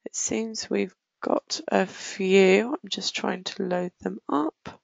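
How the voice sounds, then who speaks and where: A woman presenting a software demo and answering questions as a webinar host, explaining things in business-like phrasing. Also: medium pitch, slow, creaky, no room sound, muffled recording.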